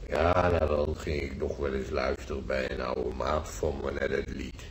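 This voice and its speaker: An actor playing a nostalgic, gruff old war veteran grandfather in a radio drama, telling his memories haltingly, repeating himself in earthy colloquial words. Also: rumbling low, steady tone. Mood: wistful. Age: older. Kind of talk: radio drama acting, reminiscing monologue. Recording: roomy old radio play, faint noise.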